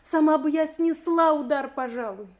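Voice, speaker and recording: An actress playing a noble young captive princess, a devoted daughter, speaking with earnest, mournful classical delivery in an audio drama. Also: female voice, high voice, normal speed, darkish tone, lightly acted. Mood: sorrowful, dignified.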